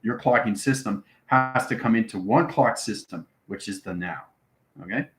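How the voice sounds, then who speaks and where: Low-voiced man in an echoey room. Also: normal speed, music in the background. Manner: instructional, frequent check-in tags like okay and right. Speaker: host answering live viewers. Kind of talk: live-stream spiritual talk, rambling explanation.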